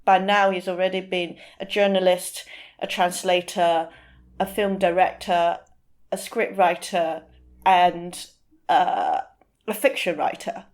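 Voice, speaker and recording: A woman speaking as an interview guest, explaining things informally and sometimes pausing to search for the right word. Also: fairly low pitch, fairly even intonation.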